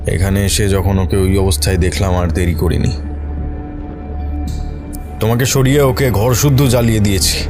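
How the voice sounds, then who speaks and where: A protective husband from a village as a male narrator plays him in a horror audio story. His voice is fairly low, grave and reassuring as he explains. Music runs clearly underneath. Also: close to an everyday voice. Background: music behind the voice.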